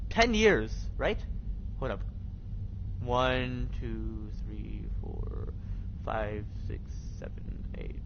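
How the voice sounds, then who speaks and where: A young American man chatting casually on a game livestream in a rambling, repetitive, slangy way. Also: mid-range voice. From Michigan, United States. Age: young adult. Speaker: live streamer. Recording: dull lo-fi mic with faint noise.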